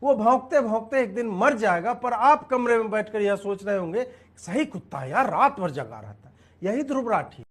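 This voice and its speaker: A man's voice: sits high, very animated, moderate pace, a bit bright, breathy edge. A man giving mocking commentary, talking in a sarcastic way and building a long comparison.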